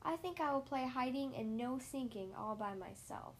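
Lady doing a high little worried kid voice, pleading, storybook boy. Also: expressive, moderate pace, a little hiss or hum. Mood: glum. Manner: pleading, childlike. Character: anxious little boy, sulky but brave.

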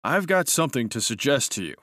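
A brash young cavalry officer in a storybook reading, hearty and teasing, his voice pushed noticeably higher as a man puts him on. The sound is dry and close, with no room around it. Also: very animated, quick pace, sharp tone, no room sound. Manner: hearty, teasing, raised pitch.

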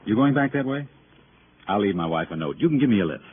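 An actor playing a defensive, cynical, successful son in a 1950s radio drama, glib and sardonic. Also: male voice, lowish voice, lively intonation, quick pace, lightly acted. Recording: tinny, noisy old radio recording.

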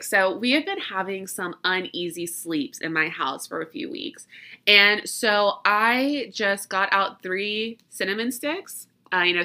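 A woman's voice: mid-range voice, pushed and bright. A woman hosting a podcast in casual chat, congested and stuffy from allergies, chatty and often laughing at herself. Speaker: podcast host.

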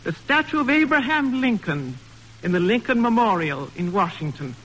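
A woman's voice: medium pitch, sing-song, moderate pace, a bit bright. A woman giving scripted guest remarks on a 1940s radio broadcast. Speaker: guest author and sculptor. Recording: old radio broadcast, long hall reverb.

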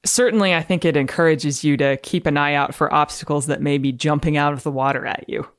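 Female guest reader, measured and matter-of-fact, explains research clearly, dry close recording. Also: deep voice, fairly even intonation, no room sound. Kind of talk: dramatic reading of research with explanations.

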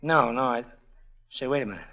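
A man's voice: medium pitch, brisk, natural voice. A man playing Ted, an eager lovestruck dancer. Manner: eager, excitable. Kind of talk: 1940s radio acting. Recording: dull old radio broadcast.

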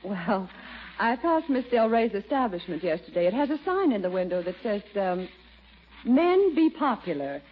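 An actress playing a sensible schoolteacher with a dry, wry manner, in 1940s radio acting. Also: female voice, mid-range voice, big pitch swings, normal speed, breathy edge. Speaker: school teacher. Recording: muffled vintage radio broadcast.

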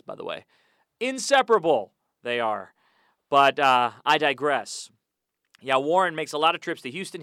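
A man's voice: medium pitch, sharp tone, clear, resonant tone, no room sound, clean studio sound. A man hosting a sports podcast from a small audio booth, chatty and upbeat with rambling asides. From United States. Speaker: podcast host. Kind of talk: casual podcast intro and interview.

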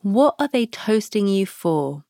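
A woman hosting a podcast, leading listeners through a guided meditation with soft prompts and long pauses. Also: medium pitch, big pitch swings, no room sound, clean studio sound. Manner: slow, soft, pausing between prompts. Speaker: podcast host guiding a practice.

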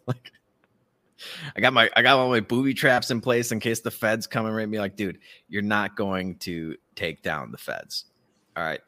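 Male podcast host, deep but forceful voice, blunt and sarcastic, swears freely in casual commentary. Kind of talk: casual opinionated commentary chat.

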